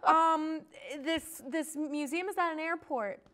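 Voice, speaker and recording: A woman with a fairly high voice talks as a guest co-host. Her delivery is informal and enthusiastic, in the loose style of a fan having a casual chat. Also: slow pace, a bit bright.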